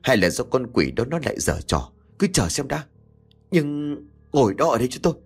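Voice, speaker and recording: A domineering old family head snaps and barks at his wife, as a man puts him on. The voice is gruff, with lively swings in pitch. He is guilty yet quick to rage. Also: faint music behind, heavily acted. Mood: commanding. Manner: gruff barking. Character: domineering guilty old patriarch, short-tempered.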